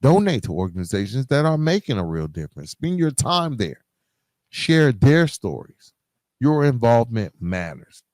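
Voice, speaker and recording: A man's voice: mid-range voice, big pitch swings, normal speed, darkish tone, no room sound. A man hosting a podcast solo, speaking in an earnest, emphatic motivational style straight to his listeners, repeating key phrases. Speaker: podcast host.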